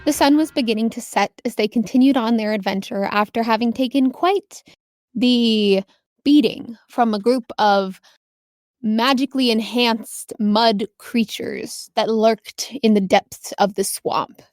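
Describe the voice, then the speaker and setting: A woman's voice: a little high, unhurried, a little mellow, dry recording, clean studio sound. A woman running a tabletop game, narrating scenes and chatting casually with players as game master.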